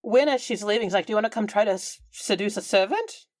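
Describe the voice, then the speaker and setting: A woman's voice: medium pitch, fast, no room sound, clean studio sound. A woman at a tabletop roleplaying game, casually improvising and narrating what her character does.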